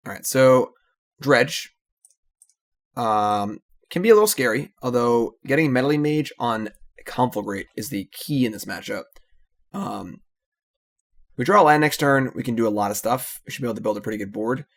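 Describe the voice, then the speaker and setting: A man casually commentating his own card game, analytical and relaxed, often trailing off with "so". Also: medium pitch, moderate pace, long pauses.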